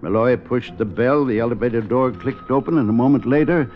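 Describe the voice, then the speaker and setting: An actor narrating a 1950s radio suspense drama in a wry, measured storytelling manner. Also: male voice, mid-range voice, natural voice. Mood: measured, suspenseful. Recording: dull 1950s radio recording. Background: dramatic music.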